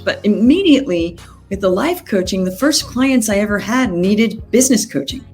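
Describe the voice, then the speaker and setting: A woman in her fifties from the United States and Australia, telling her story conversationally as a business coach being interviewed. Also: fairly low pitch. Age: 50s. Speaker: business coach, podcast guest.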